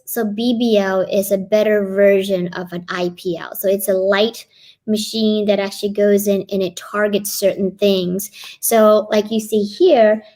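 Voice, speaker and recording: A woman's voice: medium pitch, dark tone. A middle-aged woman from Kentucky, a guest on a live online interview, eagerly explaining her work. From Kentucky, USA. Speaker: guest, cosmetic physician assistant.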